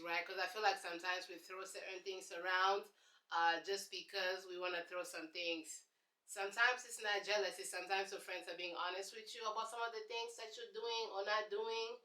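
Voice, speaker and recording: A woman's voice: mid-range voice, bright, forceful tone, echoey room, rough recording. A young woman from Congo with a Congolese accent, answering viewer questions in a casual, sassy vlog. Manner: chatty, sassy, preachy asides. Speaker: YouTube host.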